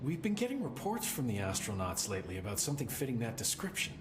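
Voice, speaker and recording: Lively, wry agency supervisor, roomy sound. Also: male voice, mid-range voice, fast talker. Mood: matter-of-fact, wry. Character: flustered, wry male government containment supervisor. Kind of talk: video game dialogue acting. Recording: roomy, clearly noisy game audio in stream.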